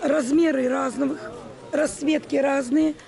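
Woman shopping vlogger, high gravelly voice, chatting to her viewers, busy market around her. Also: steady tone, slow pace, a crowd in the background. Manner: addresses viewers affectionately. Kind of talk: casual shopping walkthrough, questioning vendors. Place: busy open-air wholesale market. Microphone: handheld camera. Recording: busy crowded market noise.

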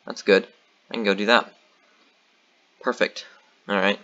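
A man's voice: on the low side, measured pace, highs cut off. A man giving casual commentary on his own board game match, thinking aloud with lots of ums and uhs. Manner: thinking aloud, relaxed, many fillers.

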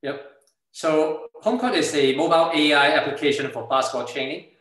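A man's voice: fairly high pitch, a little fast, fairly bright tone, heavy reverb, poor audio. A middle-aged man from Hong Kong, a native Cantonese speaker with a Hong Kong accent, giving an informal startup presentation over a video call. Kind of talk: informal slide presentation with product demo. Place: indoor room, on a video call.

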